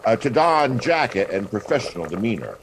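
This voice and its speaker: A man's voice: lowish voice, very animated, fairly quick, background noise. A man playing a wry, slangy hard-boiled private detective in an audio drama, with a dry manner. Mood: brisk, curious. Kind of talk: hard-boiled radio drama acting with narration.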